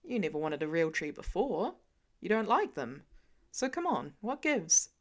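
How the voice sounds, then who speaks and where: A woman voicing a wry, self-assured grown man, lowering her voice to play him in a fan audiobook reading. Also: sing-song, unhurried.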